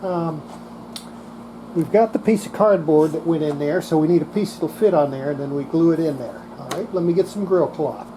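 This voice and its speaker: Casual hands-on narrator, mid pitch, step-by-step workshop talk, clear air-conditioner noise in background. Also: normal speed. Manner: talks through each step while working. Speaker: host demonstrating radio restoration.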